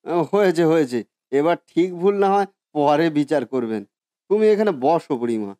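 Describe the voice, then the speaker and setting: A man's voice: fairly high pitch, expressive, normal speed, mellow, no echo, lightly acted. A man voicing a genial, folksy village cook in a cartoon story, eager, reassuring and respectful. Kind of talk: Bengali cartoon story voice acting.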